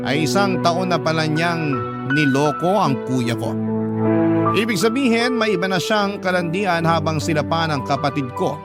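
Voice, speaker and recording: A man narrating a love-story letter in an indignant, expressive storytelling style. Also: a little high. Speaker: narrator reading a letter to the host. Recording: loud music underneath.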